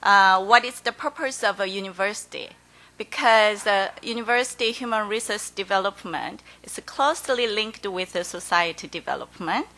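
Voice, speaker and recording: A woman with a Korean accent, whose first language is Korean, giving halting, hesitant remarks as a panelist at a conference forum. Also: medium pitch, sharp tone.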